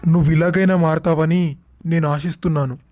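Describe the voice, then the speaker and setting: A proud, harsh father-in-law, voiced by a man in a storybook drama. His voice sits high yet mellow and dark, and he scolds sternly. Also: fairly even intonation, a little fast, soft background music, lightly acted.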